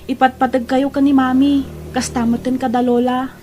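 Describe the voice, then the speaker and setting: Woman acting sweet, high-voiced Ilocano daughter. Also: level tone, moderate pace, a little mellow, a little hiss or hum, close to an everyday voice. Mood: grateful. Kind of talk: audio drama acting.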